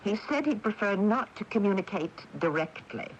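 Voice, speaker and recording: A woman's voice: mid-range voice, animated, moderate pace, dull audio, natural voice. An actress playing an earnest, polite woman who acts as go-between in a radio drama. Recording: noisy AM radio tape.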